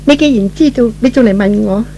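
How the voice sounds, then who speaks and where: A man playing a tender, self-reproaching young woman in love, soft and hesitant, in an old radio melodrama. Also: very high-pitched, fairly quick, creaky, voice pushed up. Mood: tender, coy.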